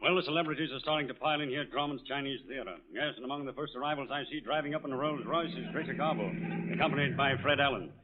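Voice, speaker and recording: A man's voice: mid-range voice, close to an everyday voice. Man playing a pompous old-time radio commentator. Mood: formal, announcing. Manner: measured announcer delivery. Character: pompous male radio news commentator, slow and formal. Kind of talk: 1930s radio comedy acting, mock live commentary. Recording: dull, muffled 1930s radio broadcast recording.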